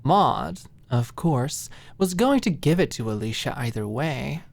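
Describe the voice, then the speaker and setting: A woman narrating scripted fiction as an ASMR audiobook, with expressive, dramatic storytelling. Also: a bass voice, unhurried, vocal fry.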